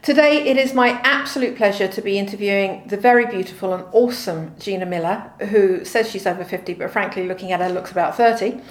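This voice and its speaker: A woman's voice: medium pitch, quick pace, few pauses, roomy sound. A woman hosting an interview show, reading a scripted introduction and reacting with effusive, enthusiastic interjections. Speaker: host.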